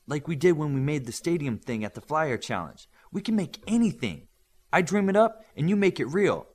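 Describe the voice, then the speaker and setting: A man reading the part of an excitable, confident, creative girl with powers in an audiobook, eager and bossy. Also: fairly high pitch, moderate pace, voice pushed up. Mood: excited.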